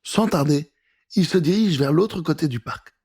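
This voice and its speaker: A man reads a children's tale as its narrator. His voice sits fairly high for a man and has a husky edge, with dramatic, suspenseful storytelling. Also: moderate pace. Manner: expressive, suspenseful.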